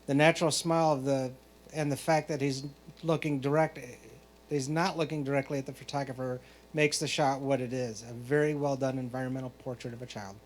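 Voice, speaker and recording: A man working as a photo competition judge, reading out prepared critiques in a measured, explanatory way. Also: medium pitch, steady tone. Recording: clear background noise, hum.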